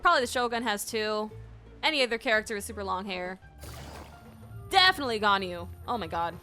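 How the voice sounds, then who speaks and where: A woman's voice: medium pitch, expressive, brisk, bright, forceful tone, faint music behind. A woman streamer chatting casually and expressively while reacting to a game story.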